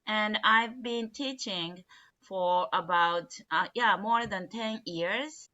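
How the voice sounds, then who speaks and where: A cheerful woman, an English teacher, speaks slowly and carefully. Her voice comes through dull, lo-fi call audio. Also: mid-range voice, bright, forceful tone. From Japan. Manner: cheerful, measured, with light laughter.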